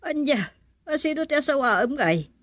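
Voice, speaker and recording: A woman with an Ilocano accent acting a doubtful, probing middle-aged mother and auntie in a radio drama, with drawn-out exclamations. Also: sits high, sing-song, no room sound. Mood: probing, surprised.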